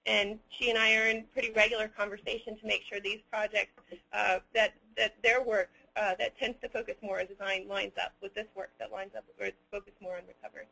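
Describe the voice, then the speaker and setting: Halting man with a creaky, bright voice. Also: high voice, level tone, low-quality recording. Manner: hesitant, halting, picking words with care. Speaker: webinar presenter answering audience questions. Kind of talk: unscripted question-and-answer talk.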